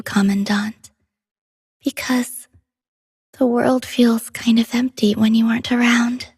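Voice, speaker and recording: A woman voicing a gentle, devoted female android musician in a video game, soft-spoken, tender and poetic in a measured delivery. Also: fairly high pitch, slightly rough, no echo, clean studio sound. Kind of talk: scripted game character voice lines.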